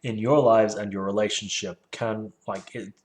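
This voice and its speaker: A man's voice: lowish voice, fairly even intonation, normal speed, darkish tone. A man reading a book aloud and critiquing it casually, with sardonic, rambling asides. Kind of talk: casual solo commentary with read-aloud passages.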